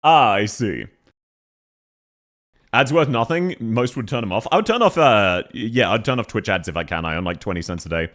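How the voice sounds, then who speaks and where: A man's voice: fairly low pitch, animated, normal speed, fairly bright tone. A young Australian man chatting casually on a live stream, playful and easily sidetracked.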